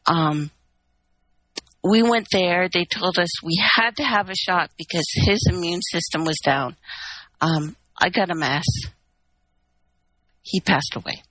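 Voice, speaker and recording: A woman's voice: on the low side, slow, long pauses, fairly bright tone, no room sound, rough recording. A woman from Canada, telling a long personal story in a candid, rambling, wry way on a call-in chat. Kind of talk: casual phone-in chat.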